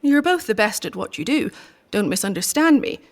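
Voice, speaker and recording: A woman voicing a stern female company chief who briefs her agents in a formal, commanding, measured way. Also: mid-range voice, very animated. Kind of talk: audio drama acting.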